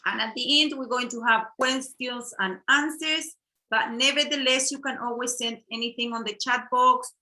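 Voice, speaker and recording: A middle-aged woman from Chile, co-hosting an online workshop over a video call in a halting, casual way with fillers and restarts. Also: fairly high pitch, steady tone, sharp tone. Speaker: workshop co-host.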